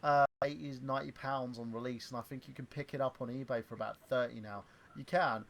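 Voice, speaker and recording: A man in his thirties hosting a livestream, chatting casually in a halting way with lots of fillers and restarts. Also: mid-range voice, steady tone, gravelly. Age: 30s. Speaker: stream host.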